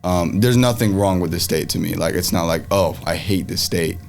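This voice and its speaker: A man's voice: low-pitched, normal speed, creaky. A young man whose first language is Farsi, a student chatting in an interview at a campus open house, hesitant with many fillers and restarts. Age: young adult. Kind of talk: casual interview chat.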